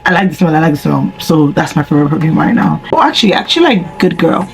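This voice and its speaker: A young woman from Nigeria with a husky voice, chatting casually and rambling with slang and deadpan humor while answering viewer questions. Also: low voice, fast talker. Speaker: vlogger. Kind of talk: casual chat while doing makeup.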